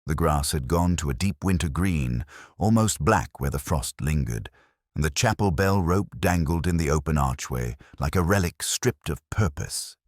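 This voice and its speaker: A man voicing a brooding, world-weary older detective, narrating a mystery audio drama in a measured, literary, wry manner. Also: extremely low pitch, expressive, no echo, clean studio sound. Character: brooding, world-weary male Oxford detective recalling an old case.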